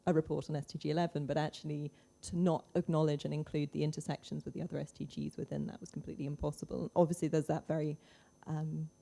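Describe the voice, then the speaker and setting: A woman's voice: deep voice, little pitch movement, fast, creaky, mellow. A woman speaking informally as a panelist at a conference.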